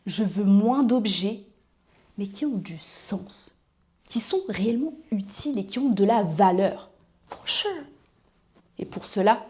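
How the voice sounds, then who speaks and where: A woman's voice: mid-range voice, dark tone. A woman giving a scripted intro and sponsor read with an emphatic, salesy delivery. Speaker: presenter of intro and sponsor segment.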